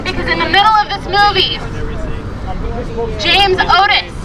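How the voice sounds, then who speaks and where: Very high-pitched woman addressing a rally. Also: steady tone, a little slow. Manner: declamatory, emphatic, repeats phrases for effect. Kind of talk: impassioned public rally speech. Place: outdoors at a street rally. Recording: distant voice amid loud outdoor crowd, traffic.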